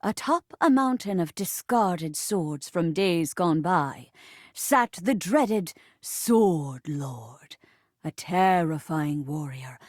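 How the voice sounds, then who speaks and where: An actress playing a grave, dramatic storyteller narrating a fantasy tale in a video game, with a hushed, ominous manner. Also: female voice, fairly low pitch, animated, no room sound, studio quality. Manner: slow, hushed, ominous, portentous.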